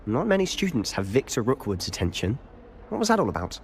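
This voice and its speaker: An actor playing a bold, loyal young student with a quick, forthright manner. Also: male voice, medium pitch, huge pitch swings, slight background noise. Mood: urgent.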